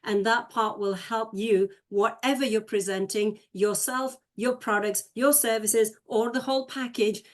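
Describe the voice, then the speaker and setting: A woman dance teacher speaking on a panel, brisk and clear as she lists her points. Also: on the high side, fairly even intonation. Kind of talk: answering a question on a panel.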